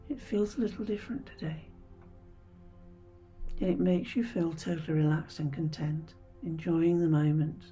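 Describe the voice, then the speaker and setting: Soothing woman doing guided meditation, hushed n breathy, lowish warm voice, music behind her. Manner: soothing, hushed, breathy delivery with gentle pauses. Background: background music.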